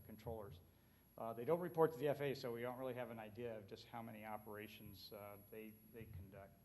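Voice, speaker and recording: American man giving a halting conference talk. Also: medium pitch, a little mellow, slight background noise. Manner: halting, frequent filled pauses; conversational, colloquial. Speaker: conference speaker on aviation. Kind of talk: informal conference presentation.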